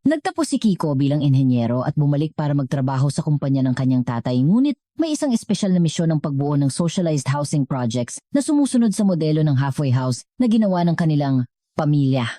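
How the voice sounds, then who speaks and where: A Filipino woman reads a scripted story in a low-pitched voice. Her tone is mellow and soft-edged, with a measured, earnest delivery. The recording is dry and close. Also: sing-song, no room sound. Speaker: narrator of a story channel.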